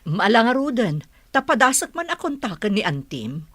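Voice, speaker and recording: Husky-voiced Ilocano village mother. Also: fairly high pitch, very animated, normal speed, a bit bright, no echo. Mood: gently advising. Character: Ilocano village mother counseling her grown child. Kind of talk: radio drama acting.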